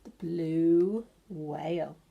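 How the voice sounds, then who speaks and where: A woman's voice: low voice, fairly even intonation, drawn-out words, gravelly, highs cut off. A woman reading a children's picture book of verse aloud, stretching words for emphasis and pointing things out to young listeners.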